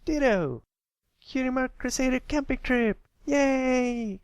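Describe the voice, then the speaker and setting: Man putting on a high, sweet filly. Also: slow, clear, resonant tone, dry recording, heavily acted. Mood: excited. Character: sweet, excitable, slightly prim young filly pony.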